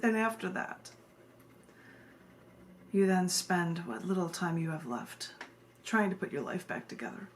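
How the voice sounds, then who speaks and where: A woman from the United States speaking as a poet, moving between informal, excitable introductions and deliberate, dramatic readings of her own verse. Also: lowish voice, slight background noise.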